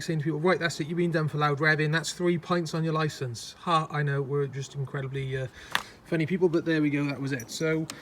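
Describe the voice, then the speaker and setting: A hoarse man, chatting casually in a rambling, self-mocking way as he walks through his car for a vlog. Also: on the high side, slight background noise. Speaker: car vlogger.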